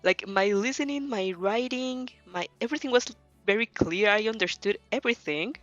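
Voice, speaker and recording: A woman's voice: a little high, normal speed, fairly bright tone, no room sound. A young woman who speaks English as a second language, chatting casually and hesitantly as she hosts a stream, often correcting herself. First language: not English. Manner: hesitant, giggly, self-correcting. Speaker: host reacting to fan fiction. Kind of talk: casual, rambling stream chat. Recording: dull lo-fi mic, faint music behind.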